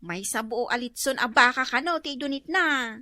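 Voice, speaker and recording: A woman's voice: sits high, normal speed, pushed and bright, no room sound, clean studio sound. A woman playing an eager, teasing younger woman in an Ilocano comedy radio drama, coaxing, with an Ilocano accent.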